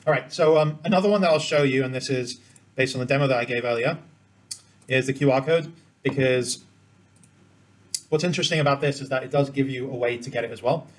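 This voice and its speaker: Fast-talking man giving a software tutorial. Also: medium pitch. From Cambodia. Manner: explains step by step while demonstrating. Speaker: spreadsheet tutorial presenter. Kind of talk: informal screen-share software tutorial.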